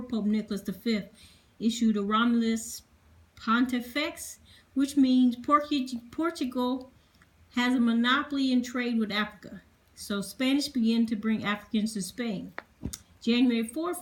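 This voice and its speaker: A woman presenting history informally, reading from notes in a halting way and spelling out difficult words. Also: a little high, rough recording. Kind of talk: informal lecture reading dated notes aloud.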